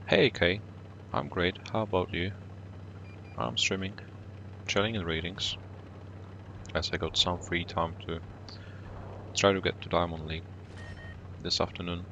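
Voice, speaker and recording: A man from Poland with a Polish accent, whose first language is Polish, calmly commenting on his game for a live stream and greeting viewers in chat. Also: sits low, gravelly, highs cut off, a little hiss or hum. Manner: calm, unhurried, greets chat viewers between plays.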